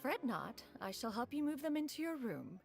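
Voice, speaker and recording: A stately crane spirit from a game, archaic formal phrasing, mid-pitched voice, lively intonation, faint music behind. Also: female voice, normal speed, vocal fry, heavily acted. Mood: composed. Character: elegant, stately female crane spirit, proud and witty. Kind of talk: video game voice acting.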